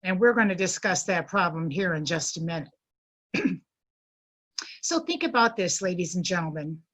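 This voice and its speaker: A middle-aged woman with a bright, forward voice speaks as a guest presenter. She is polite and formal, addressing an online business group with deliberate emphasis. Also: fairly low pitch, lively intonation. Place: online video meeting.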